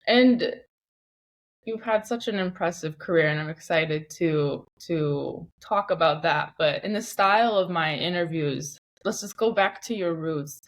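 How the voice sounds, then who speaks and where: A woman's voice: lowish voice, unhurried. A woman hosting an interview show, talking in a conversational way with her guest. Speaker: podcast host and interviewer.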